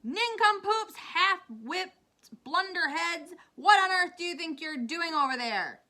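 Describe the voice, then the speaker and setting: A woman voicing a brash, reckless male centipede in a storybook, loud and mocking. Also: medium pitch, quick pace, lightly acted. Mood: jeering yell. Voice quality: loud, shouting. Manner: mocking, blustering, defiant.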